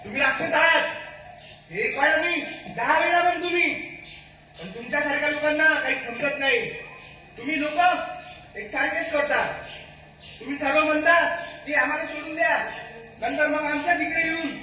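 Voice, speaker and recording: A man's voice: extremely high pitch, fairly even intonation, normal speed, long pauses, pushed and bright, heavily acted. A man playing an angry, distrustful forest-tribe leader in an amateur stage play, declaiming accusations and pointed questions. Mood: furious accusing. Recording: echoey hall, far mic, faint noise.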